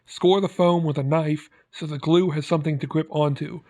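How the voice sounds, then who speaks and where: Male tutorial host, fairly high voice, very even intonation, plain scripted step-by-step instruction. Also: normal speed. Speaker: host of prop-making tutorial. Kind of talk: scripted how-to narration.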